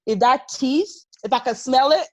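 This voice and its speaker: Casting: playful woman co-host with a lively, swinging voice and faint noise behind. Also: high-pitched, normal speed. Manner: expressive, playful, laughs often. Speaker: podcast co-host. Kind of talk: casual chat.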